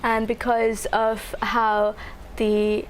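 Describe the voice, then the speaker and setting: A woman's voice: fairly high pitch, completely flat delivery, very slow, slight background noise, rough recording. A young woman from India, a psychology student, answering panel questions in a casual, chatty way. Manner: casual, chatty, with fillers and light laughs.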